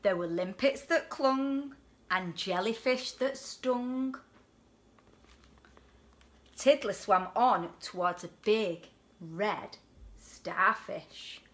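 A woman in her forties reads a picture book to children in an animated, deliberate way, leaning on the rhyming words. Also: mid-range voice. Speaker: deputy headteacher reading a children's story. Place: indoors at home on a sofa.

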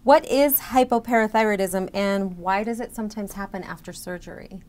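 A woman's voice: medium pitch, normal speed, a little mellow. A middle-aged woman interviewing a guest with semi-scripted questions. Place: indoor event room with banner backdrop.

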